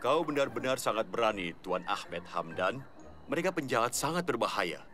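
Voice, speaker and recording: A man voicing a grave, admiring police officer in an animated comedy, official and measured. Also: mid-range voice, music in the background, lightly acted. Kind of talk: animated comedy cartoon dubbing. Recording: background ambience noise.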